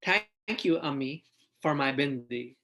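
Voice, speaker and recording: A man's voice: mid-range voice, lively intonation, slow pace, pushed and bright. A man who is a drag artist, sharing stories in an enthusiastic, informal way. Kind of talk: picture-book reading and casual sharing.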